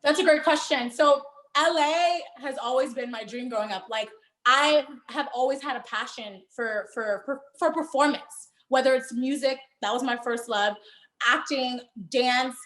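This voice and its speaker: High-voiced animated young woman. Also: normal speed, echoey room. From Haiti, raised in Miami. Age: young adult. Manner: animated, laughs often, emphatic. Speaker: guest, performer. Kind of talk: casual interview.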